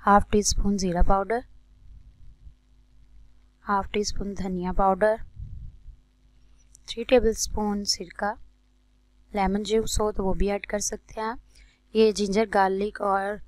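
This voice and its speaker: A woman with a Pakistani accent narrates a recipe tutorial as a cooking host, in a measured, instructional way. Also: medium pitch, level tone, no echo, low-quality recording.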